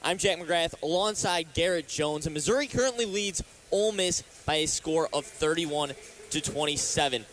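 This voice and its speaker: A man's voice: fairly high pitch, moderate pace, fairly bright tone, a little hiss or hum. A young American man calling a game as a radio play-by-play announcer, live from an arena. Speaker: sports play-by-play announcer and reporter. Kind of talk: live radio sports commentary.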